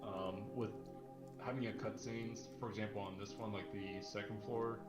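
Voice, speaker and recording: A man with a lowish, flat voice chats casually as a tutorial co-host. His sound is roomy and echoey, with music clearly audible behind him. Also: moderate pace. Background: background music.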